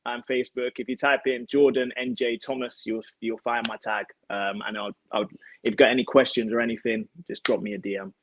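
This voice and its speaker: A young man chatting as an interview guest on a video call, agreeable and halting, repeating words as he goes. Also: mid-range voice, level tone, dull audio, a little hiss or hum. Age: young adult. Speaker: guest, karate athlete. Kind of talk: casual interview chat. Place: home kitchen, on a video call.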